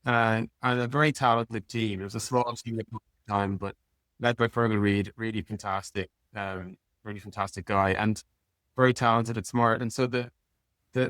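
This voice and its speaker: A man's voice: mid-range voice, fairly even intonation, moderate pace, a bit bright, no room sound. A man speaking as an interview guest in a casual, plain-spoken way, like an executive talking informally about work. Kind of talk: casual conversational interview answers.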